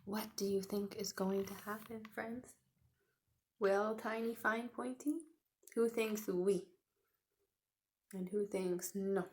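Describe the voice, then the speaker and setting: A woman's voice: medium pitch, steady tone, takes it slowly, long pauses, a little mellow. A woman teacher doing an interactive read-aloud for young children, speaking encouragingly to her listeners as friends. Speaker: teacher and storyteller.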